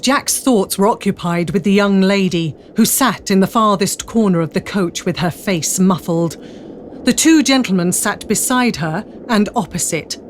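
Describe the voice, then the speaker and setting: A woman giving a dramatic scripted narration of a period adventure story. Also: medium pitch. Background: faint noise and ambience.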